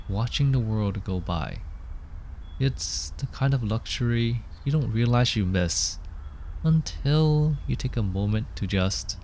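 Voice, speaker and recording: Guy doing a sweet silly asmr boyfriend, really slow talking, kinda deep, mock-grand and playful on a picnic date. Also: darkish tone, slight background noise. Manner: mock-grand, whimsical, unhurried delivery. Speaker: ASMR roleplay performer. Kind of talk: scripted ASMR boyfriend roleplay. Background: park ambience sound effects.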